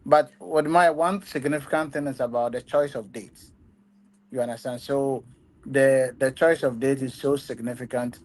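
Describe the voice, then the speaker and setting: A man's voice: medium pitch, fairly even intonation, normal speed. Earnest man explaining a plan. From Ghana. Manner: earnest, explanatory, many ums. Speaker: travel agency founder. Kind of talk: interview answers.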